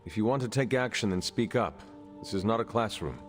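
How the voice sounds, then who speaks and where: A stern, demon-blooded hero from a video game , acted by a man, speaks formally in clipped phrases. His voice sits low, with long reverb and faint music behind it. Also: brisk, natural voice. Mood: firm, frank. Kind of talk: cinematic video game acting.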